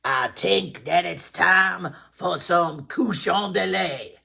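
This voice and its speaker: A storyteller voicing a big, sly, grinning male alligator with a low growl. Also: slow pace, gravelly, sharp tone, husky, exaggerated voice. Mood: sly, menacing.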